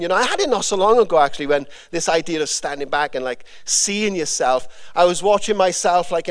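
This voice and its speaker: A Welsh man giving a conversational sermon with a personal testimony, rambling and informal with frequent fillers and restarts. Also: sits high. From Wales. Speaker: preacher.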